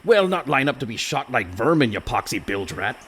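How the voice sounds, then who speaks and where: An actor playing a rough, indignant pirate rogue in a radio play, exclaiming in gruff seafaring dialect. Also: male voice, mid-range voice, very animated, brisk, fairly bright tone. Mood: alarmed, defiant. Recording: faint background noise.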